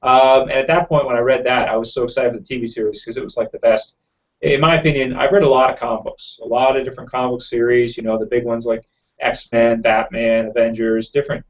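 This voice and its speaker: A man hosting a casual live chat from home, answering viewer questions quickly with lots of fillers, his throat a bit raw. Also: mid-range voice, lo-fi sound. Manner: fast-talking, rambling with many fillers. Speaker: YouTube channel host.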